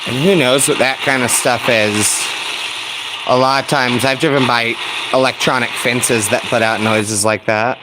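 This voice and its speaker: American man talking over loud radio static. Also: medium pitch, fairly even intonation, measured pace. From United States, Colorado. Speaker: shortwave radio hobbyist host. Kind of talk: casual running commentary while tuning a radio. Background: shortwave static, tones and data signals.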